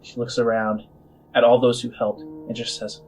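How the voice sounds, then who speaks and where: A man hosting and narrating a tabletop roleplaying game in halting, improvised short phrases. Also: mid-range voice, little pitch movement, slight background noise, rough recording. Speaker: game master and host of a tabletop game show. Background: music.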